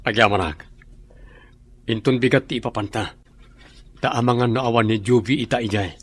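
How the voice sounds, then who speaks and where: A man's voice: medium pitch, moderate pace, slightly rough, lightly acted. A man with a Filipino accent acting as a sober male boss in an Ilocano radio drama. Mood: explaining.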